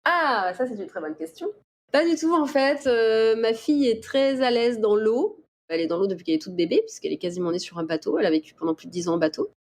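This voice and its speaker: A middle-aged woman from the Paris region answering interview questions in a casual, explanatory way with hesitant pauses. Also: on the high side, animated. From Paris region, France. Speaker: interview guest, dolphin researcher. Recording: clear background ambient noise.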